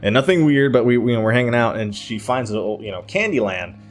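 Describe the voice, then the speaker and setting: A man's voice: medium pitch, expressive, fast talker. A man telling personal stories casually to his online viewers, digressing, hesitating and circling back as he goes. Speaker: YouTube storyteller. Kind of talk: casual rambling personal storytelling.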